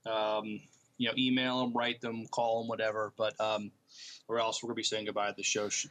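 A man co-hosting a podcast, reading and discussing entertainment news in a plain-spoken, slightly halting delivery. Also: fairly low pitch, monotone, fairly bright tone. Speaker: podcast co-host.

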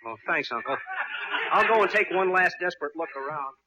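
A man's voice: on the high side, expressive, brisk, highs cut off, noisy background, natural voice. An actor playing a hapless, self-deprecating young man in an old radio comedy, earnest and plaintive with comic timing. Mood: worried. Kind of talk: mid-century radio comedy acting.

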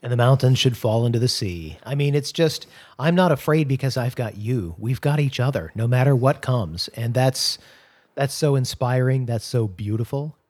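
A man from the US co-hosting a music podcast, loud and jokey, laughing often. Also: mid-range voice, moderate pace. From United States. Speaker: podcast co-host. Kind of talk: casual chat.

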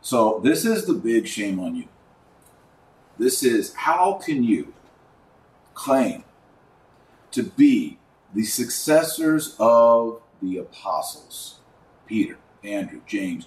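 A man's voice: mid-range voice, roomy sound, distant mic, slight background noise, poor audio. A man hosting a religious commentary podcast, speaking emphatically and indignantly, with rhetorical questions and sarcastic asides.

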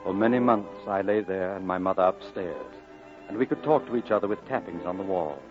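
Solemn narrator, played by a man, lowish lyrical delivery, vintage radio drama with music underneath. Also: a little fast, close to an everyday voice. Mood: solemn, reminiscent. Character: grown man solemnly recalling his boyhood. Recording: dull old radio broadcast, clear music behind.

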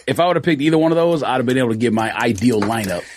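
A man's voice: medium pitch, expressive, very fast, a little hiss or hum. A man on a casual podcast, laid-back and slangy, defending his choices. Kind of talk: casual banter among friends.

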